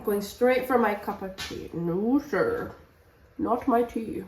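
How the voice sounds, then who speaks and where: A woman's voice: mid-range voice, takes it slowly, a little mellow, slight background noise. A woman vlogging at home in a casual, rambling way, with a stuffy cold. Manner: chatty, rambling with many fillers. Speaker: book vlogger. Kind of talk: casual vlog chat. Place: home kitchen. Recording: echoey room, mic at a distance.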